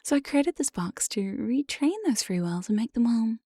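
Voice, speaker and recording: A woman playing an evil, playful demon jailer in an ASMR roleplay, breathy and often whispering, with a sly, mock-sweet menace, close on the microphone. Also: a little high, expressive, normal speed, mellow, no room sound. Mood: gloating, scheming. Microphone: close binaural studio microphone, dry.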